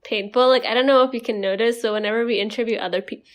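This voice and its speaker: A woman from Indonesia, a native Indonesian speaker, co-hosting a casual podcast and talking in an animated way, full of "like". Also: on the high side, very fast. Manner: animated, heavy use of "like" as filler. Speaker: podcast co-host. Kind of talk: casual conversational podcast chat.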